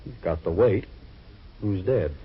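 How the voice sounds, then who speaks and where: An actor playing a droll, long-suffering waterfront priest in a 1940s radio drama, with a theatrical, complaining manner. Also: male voice, low-pitched, expressive, a little slow, breathy edge, full character voice. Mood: wryly droll. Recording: muffled old radio recording.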